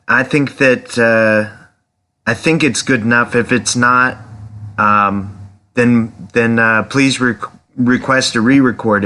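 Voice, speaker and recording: Bright-voiced male podcast host, halting delivery. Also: lowish voice. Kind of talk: casual chat.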